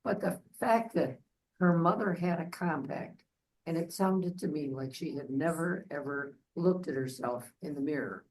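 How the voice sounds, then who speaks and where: A woman's voice: deep voice, moderate pace, a little mellow, a little hiss or hum, poor audio. An older woman reflecting earnestly on a story in a casual book discussion. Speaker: book club member.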